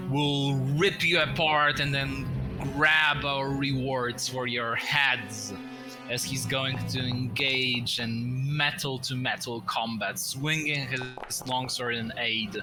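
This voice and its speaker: A man narrating combat as game master of a tabletop game, in descriptive but halting phrases. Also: mid-range voice, low-quality recording. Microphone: voice-chat microphone. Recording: music behind, online voice call. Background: music.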